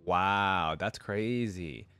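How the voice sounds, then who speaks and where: A man's voice: deep voice, very slow, sharp tone, clean tone. A man hosting a reaction channel, talking informally and reading aloud as he comments.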